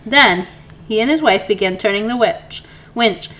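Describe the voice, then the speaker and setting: A woman reading a children's story aloud in an expressive narrating style. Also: mid-range voice, moderate pace, rough recording. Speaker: storybook reader.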